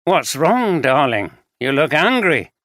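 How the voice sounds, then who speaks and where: Sheepish pleading husband, as a reader plays him. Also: male voice, fairly high pitch, big pitch swings, slow, a bit bright, no room sound, studio quality, pitched above the performer's own voice, exaggerated voice. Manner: pleading, evasive, nervous. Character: sheepish middle-aged husband caught out. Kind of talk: audiobook character reading.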